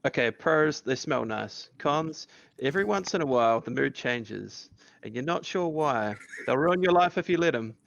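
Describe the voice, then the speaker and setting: A man reading the part of a rambling young man wary of sharing a flat with a woman, with a gravelly voice at a casual table read. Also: medium pitch, moderate pace, no echo, highs cut off. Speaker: podcast host reading a part.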